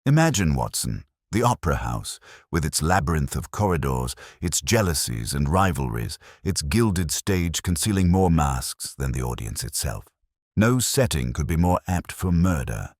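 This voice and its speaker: A man's voice: a bass voice, very animated, normal speed, a little mellow, no echo, clean studio sound, exaggerated voice. A man voicing a keen, coolly confident detective with eloquent, pompous phrasing in a storybook reading. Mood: eager excitement.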